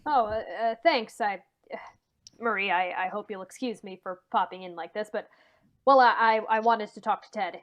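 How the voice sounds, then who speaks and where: A woman's voice: medium pitch, normal speed, natural voice. A woman acting a nervous, hesitant man in an old-time radio mystery, stammering as the character confides. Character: anxious man confiding a suspected family murder.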